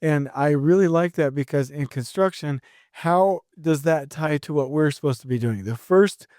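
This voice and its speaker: A man's voice: on the high side, normal speed, no room sound, studio quality. A middle-aged man hosting a solo podcast, talking energetically with frequent restarts, his throat a little sore and scratchy. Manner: energetic, rambling, many restarts. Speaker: podcast host.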